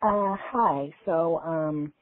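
Woman acting as worried hesitant lady caller, husky low voice, phone voicemail. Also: very slow, dry recording, heavily acted. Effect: telephone voicemail. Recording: tinny, muffled phone voicemail.

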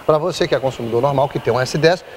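Quick-talking Brazilian male TV presenter. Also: mid-range voice, a little hiss or hum. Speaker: TV car show presenter. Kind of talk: informal promotional presenting. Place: large car showroom.

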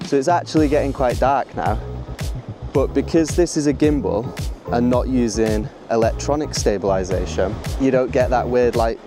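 Excitable young man vlogging on a beach. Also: medium pitch, normal speed, over music. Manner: eager, rambling, laughs at himself. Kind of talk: excited casual vlog. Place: outdoors on a windy rocky beach. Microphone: small clip-on mic.

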